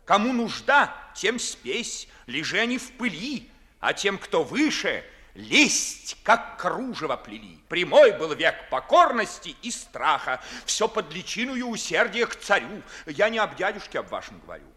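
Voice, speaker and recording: An eloquent, mocking freethinker in vintage radio theatre. His bright voice sweeps widely up and down as he declaims verse with ardent, oratorical phrasing. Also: high voice, very animated, measured pace, exaggerated voice. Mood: mocking, impassioned. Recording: 1950s Soviet radio play recording.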